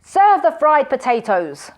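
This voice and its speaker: A furious wicked magician bellows threats, his voice leaping high and loud with rage, as a woman puts him on. He blusters and shouts, swinging wildly up and down. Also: sing-song, slow, full character voice. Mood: bellowing.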